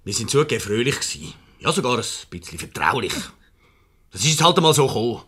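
An actor with a Swiss German accent playing an impatient, defensive husband in a radio comedy. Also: male voice, medium pitch, big pitch swings, fast, sharp tone, slightly rough, exaggerated voice. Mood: fed up. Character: exasperated, defensive husband of a jealous wife. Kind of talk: 1970s radio comedy acting.

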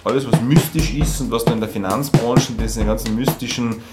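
A man's voice: medium pitch, fairly quick. A man explaining plainly as a business expert in an interview, listing his points. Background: loud drum music.

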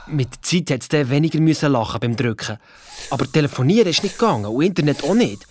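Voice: medium pitch, expressive, quick pace, darkish tone, a little hiss or hum, close to an everyday voice. An actor playing Luiz's part as a young schoolchild detective, with a Swiss German accent, retelling adventures colloquially and acting out what others said. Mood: confiding. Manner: colloquial, acts out quoted speech. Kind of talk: children's radio play, colloquial first-person retelling.

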